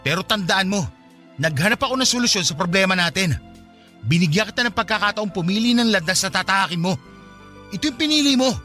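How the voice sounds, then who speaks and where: Radio drama role: cold, blaming husband with a secret, fast delivery, forceful bright voice. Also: male voice, high-pitched, lively intonation, faint music behind. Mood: cold, defensive. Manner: defensive, scolding, blaming. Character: callous married man coldly rejecting his former mistress. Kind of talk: Tagalog radio drama acting.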